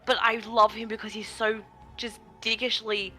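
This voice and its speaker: A woman's voice: fairly high pitch, flat delivery, moderate pace, pushed and bright. A woman giving casual, blunt and exclamatory commentary on a game stream. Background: game music.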